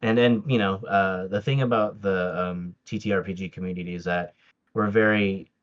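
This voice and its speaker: A man's voice: sits low, steady tone, normal speed, highs cut off. A man whose first language is Tagalog, chatting casually as a podcast guest, with lots of fillers and restarts. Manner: hesitant, many fillers and restarts, laughs often. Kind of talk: casual interview chat.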